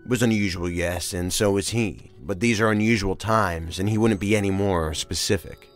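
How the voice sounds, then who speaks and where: A man acting a veteran crime agent in an audio drama. His voice is very low, his delivery dry, wry and guarded, over a faint music bed. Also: fairly even intonation, normal speed, lightly acted. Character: weary, wry male career organized-crime agent.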